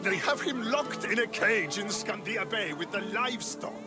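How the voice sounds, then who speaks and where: An excitable devotee in a game, eager and pleading. His voice is high and bounces around a lot. Loud music plays over the scene. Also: normal speed. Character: excitable devoted male follower of a cult.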